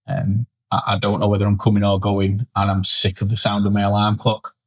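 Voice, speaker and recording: Man, low voice, tired and blunt, casual podcast co-host who swears and mocks himself. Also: monotone, brisk. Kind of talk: casual chat. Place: indoor studio setup, seated.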